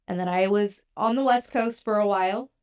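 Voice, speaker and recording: A woman's voice: medium pitch, moderate pace, a little mellow, clear, resonant tone. A woman co-hosting a podcast, born in Europe and raised in the United States, talking in a chatty, candid, self-deprecating way. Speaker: podcast co-host. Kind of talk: casual podcast talk.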